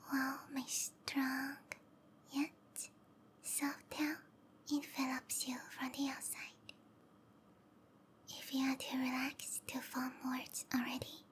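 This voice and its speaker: A woman voicing a sweet, obsessive snake-woman lover in a whispered ASMR roleplay, speaking softly and tenderly with intimate, lingering phrasing. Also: high voice. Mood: soothing, possessive. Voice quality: soft, breathy whisper.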